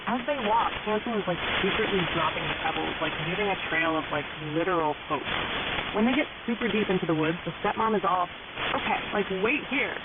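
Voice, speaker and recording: Woman putting on a ditzy california valley girl telling stories, gossipy and slangy, crackly thin shortwave signal w loud hiss. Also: on the low side, expressive, a little fast. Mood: gossipy, dramatic. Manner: exaggerated slang-heavy delivery packed with "like" and "totally". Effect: shortwave radio. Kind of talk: scripted comic fairy-tale retelling, radio broadcast. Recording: noisy shortwave radio, narrow band, loud hiss.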